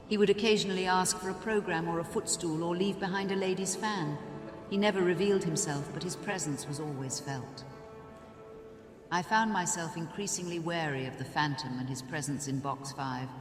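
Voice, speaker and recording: An actor voicing a dutiful, wary box keeper at an opera house, narrating in an earnest, measured way. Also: mid-range voice, close to an everyday voice. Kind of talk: audio drama monologue. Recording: echoey hall reverb with faint music.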